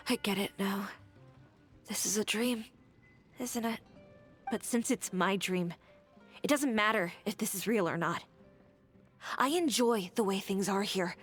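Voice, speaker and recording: A woman voicing a grieving young woman who clings to a pleasant dream, speaking softly and wistfully. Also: a little high. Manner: soft, wistful, quietly resigned. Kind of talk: game cutscene dialogue. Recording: game audio with music bed.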